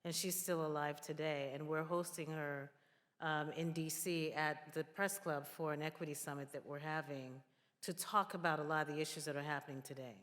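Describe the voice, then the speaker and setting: A woman speaks earnestly to make her points as a panelist. Her voice sits on the low side, and the hall around her adds a long reverb. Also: steady tone, moderate pace, a bit bright.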